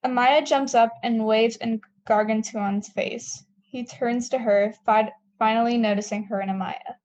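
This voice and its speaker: Female narrator for stage directions, mellow tone, slightly high voice, scripted read. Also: fairly even intonation, a little slow, dull audio.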